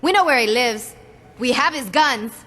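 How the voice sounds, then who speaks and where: A woman's voice: sits high, expressive, moderate pace, pushed and bright, exaggerated voice. An actress playing a tough, hard-edged female gang leader, blunt and no-nonsense, in a video game. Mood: rallying, vengeful. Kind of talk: video game cutscene acting. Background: clear music.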